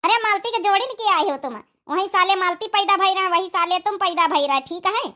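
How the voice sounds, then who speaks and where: A woman's voice: very high voice, a little fast, sharp tone. A woman voicing a kindly, protective middle-aged village auntie in a comedy cartoon, giving motherly advice with an Awadhi accent. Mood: caring. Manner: advising, motherly.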